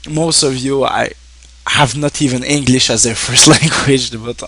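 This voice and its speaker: A French-speaking man from Quebec talks to his viewers in English with a Québécois French accent. His mid-pitched voice has a gravelly fry. He stops, restarts and fills gaps often as he goes. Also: fairly even intonation, fairly bright tone. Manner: halting, with many fillers and self-restarts. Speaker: streamer. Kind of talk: casual thank-you talk to viewers.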